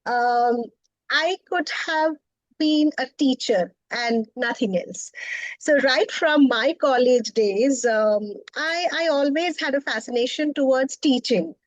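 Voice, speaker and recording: Indian lady w/ a high voice, bengali first language, laughs a lot, dry close sound. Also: no room sound, low-quality recording. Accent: Indian English. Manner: warm, laughing, thoughtful with pauses. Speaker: guest, poet and professor. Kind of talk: interview conversation.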